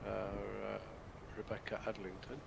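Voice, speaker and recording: A man's voice: on the low side, monotone, measured pace, vocal fry. A middle-aged man from the East Midlands of England chatting casually on voice chat, hesitant with many restarts. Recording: loud background noise.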